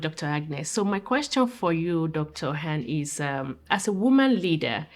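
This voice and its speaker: A woman in the audience at a live event asking the guest a question, saying she has a loud voice. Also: lowish voice. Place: event hall.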